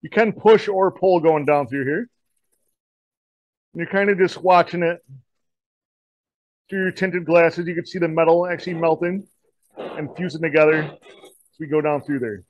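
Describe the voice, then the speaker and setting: A man in his forties, a shop teacher, talking through a torch welding demonstration in a relaxed, folksy way in an indoor metal shop. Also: on the high side, measured pace, long pauses, muffled recording. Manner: relaxed, folksy, many "kinda" and "you know" fillers. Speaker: diesel technology teacher.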